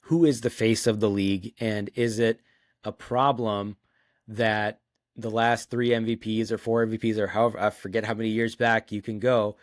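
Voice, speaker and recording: A man's voice: lowish voice, little pitch movement, moderate pace, no room sound, clean studio sound. A man hosting a casual sports discussion in a conversational way, often posing questions. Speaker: podcast host.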